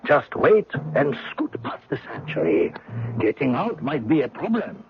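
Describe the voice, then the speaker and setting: A man's voice: mid-range voice, wildly swooping pitch, unhurried. An actor playing a soldier who recounts his own prison-escape exploits in an old-time radio drama. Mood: measured, recounting. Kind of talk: first-person radio drama narration. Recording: dull old radio recording with music.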